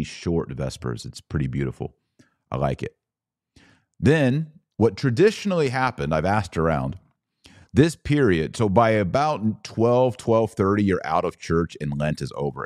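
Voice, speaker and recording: A man's voice: sits low, a little mellow, no echo, studio quality. A man hosting a podcast, explaining religious practice in an informal, enthusiastic way and often answering his own questions. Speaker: podcast host.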